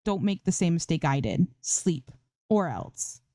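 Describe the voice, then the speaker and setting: A woman's voice: lowish voice, expressive, measured pace, warm tone, no room sound, clean studio sound. A woman voicing a bubbly, anxious young female streamer in a story reading, chirpy and a little scattered. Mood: cheerful, teasing.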